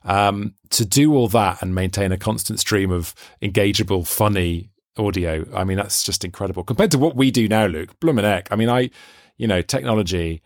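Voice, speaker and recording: A man's voice: fairly low pitch, normal speed, no room sound, clean studio sound. A Welsh man, a radio presenter and comedian, chatting enthusiastically in an interview with lots of restarts. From Wales. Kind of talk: casual interview chat.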